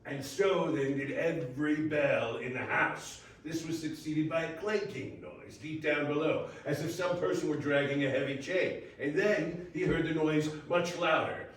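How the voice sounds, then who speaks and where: A man's voice: fairly high pitch, steady tone, unhurried, hardly any pauses. A man reading scripted story narration in a readers theater. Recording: very echoey room, distant mic, faint noise.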